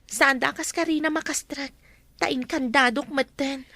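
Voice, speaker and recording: High-voiced, earnest young woman. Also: steady tone, measured pace, pushed and bright, dry recording, exaggerated voice. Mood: reflective. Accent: Ilocano. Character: earnest, wronged young woman, trusting niece. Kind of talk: radio drama acting.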